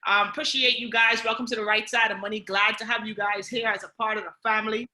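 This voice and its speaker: A woman's voice: fairly high pitch, little pitch movement, quick pace, pushed and bright, echoey room, slight background noise. Upbeat Bahamian woman coaching a team. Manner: upbeat, coaching, repeats key points, frequent "okay?" and "guys". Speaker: team leader running a training call. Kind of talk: motivational training call.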